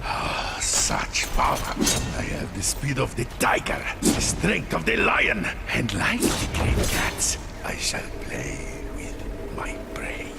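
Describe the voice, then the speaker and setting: An actor playing a proud, vicious big-game hunter villain in a video game, theatrical, menacing and grandiose. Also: male voice, mid-range voice, wildly swooping pitch, slow, creaky, in a whisper, exaggerated voice. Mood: gloating, predatory. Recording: loud game music behind.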